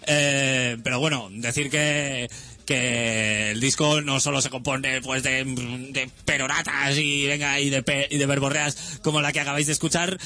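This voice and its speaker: A young man the chats on a music radio show, filling gaps with "eh" and restarting sentences. His voice sits a little high and sounds bright and forceful. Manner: hesitant, full of "eh" fillers and restarts. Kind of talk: casual rambling radio chat.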